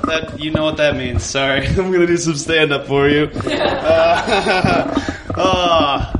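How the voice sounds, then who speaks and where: A man doing casual, conversational stand-up comedy in a halting, self-conscious, stammering way. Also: fairly high pitch. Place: live comedy venue. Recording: noisy live stage recording.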